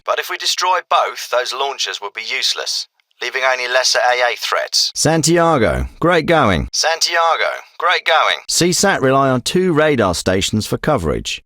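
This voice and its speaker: A man's voice: mid-range voice, bright, forceful tone, no room sound, studio quality. An actor playing a commanding male special forces team leader in a video game, with firm, clipped, businesslike military delivery. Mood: matter-of-fact briefing. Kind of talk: scripted military radio dialogue.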